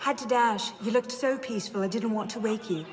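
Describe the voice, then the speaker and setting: A woman's voice: a little high, a little fast, a little hiss or hum, natural voice. Woman as a tender young lover, long reverb. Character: tender young woman reading out her farewell note. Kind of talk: video game voice acting.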